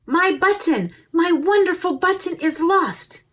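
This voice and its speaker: A woman's voice: extremely high pitch, expressive, unhurried, fairly bright tone, pitched above the performer's own voice, full character voice. A woman voicing an earnest, exclaiming little boy in a children's storybook. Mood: distressed. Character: little boy who trusts his grandpa completely.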